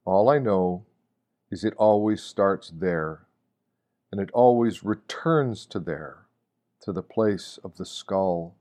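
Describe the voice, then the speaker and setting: A man reading a scripted devotional meditation like a sermon, solemn and deliberate, posing rhetorical questions. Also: low-pitched, mellow. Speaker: reader of a Lenten meditation.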